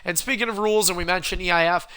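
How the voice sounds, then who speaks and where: Man, hoarse from a cold, high-pitched, bright tone, stream host, punchy play-by-play calls. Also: fairly even intonation, very fast. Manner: wrestling-announcer calls, rising and punchy. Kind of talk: livestream announcements and play-by-play commentary.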